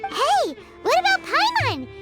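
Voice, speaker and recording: Tiny fairy guide, extremely high squeaky voice, wildly swooping pitch, indignant, perky, video game. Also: female voice, huge pitch swings, slow pace, full character voice. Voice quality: squeaky, childlike. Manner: refers to self in third person, perky. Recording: game music behind the voice.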